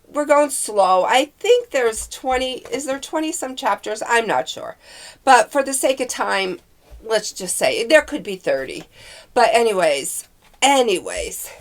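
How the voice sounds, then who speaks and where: A woman with a cold and a congested, nasal voice, chatting and rambling about the books she is reading. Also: mid-range voice. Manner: rambling, chatty, full of fillers. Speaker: book vlogger. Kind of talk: casual chat about books.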